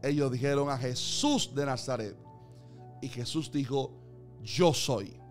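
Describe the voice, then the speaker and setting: A man's voice: mid-range voice, unhurried, soft background music. A man preaching a Bible sermon in an earnest, declamatory way, building emphasis through repeated phrases.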